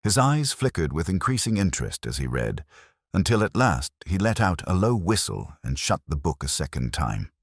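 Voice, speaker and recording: A man's voice: low voice, big pitch swings, normal speed, slightly rough, no room sound, studio quality. A man reading a scripted detective story as an audiobook narrator, in the first person.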